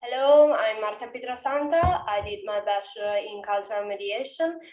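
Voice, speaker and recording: A woman's voice: mid-range voice, animated, normal speed, a little mellow. A woman from Italy, a tourism student, asking a composed prepared question. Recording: echoey room, distant mic.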